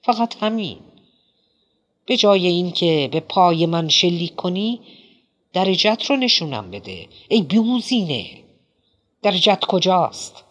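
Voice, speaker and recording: Brief: male reader voices a nervy, mocking older man, pitched up, pleading and indignant. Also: highs cut off. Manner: jittery, high-strung, wheedling. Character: rattled eccentric middle-aged man, pleading and mocking. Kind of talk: audiobook character voice.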